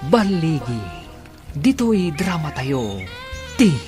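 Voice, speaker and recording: A man's voice: fairly high pitch, sing-song, slow. A man with a Filipino Ilocano accent reading scripted, dramatic narration as announcer of a radio serial. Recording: music bed behind voice. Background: background music.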